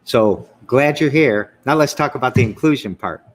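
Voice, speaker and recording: A man's voice: medium pitch, expressive, normal speed. Rambling Michigan man hosting a show. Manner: rambling, enthusiastic, trails off and restarts. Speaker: show host. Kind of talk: casual interview chat.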